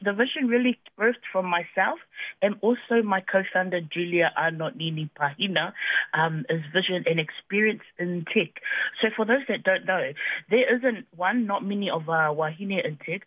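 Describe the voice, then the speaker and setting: A woman's voice: mid-range voice, husky, no echo. A woman, a tech founder chatting as a guest in a radio interview, bubbly and laughing easily. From New Zealand. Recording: tinny dry phone line.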